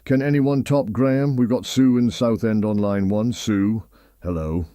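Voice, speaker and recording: A very deep, mellow and dry British voice from a male actor presents a late-night phone-in. He is a genial host, chatty and lightly bemused, in talk radio style. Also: a little slow, no echo, close to an everyday voice.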